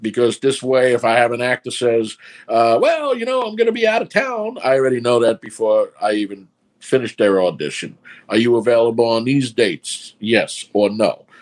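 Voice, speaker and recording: A man's voice: mid-range voice, very animated, normal speed. A man with a New York accent, a filmmaker talking casually through his process step by step. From New York City. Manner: rambling, explains step by step. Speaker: filmmaker guest. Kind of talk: casual podcast chat.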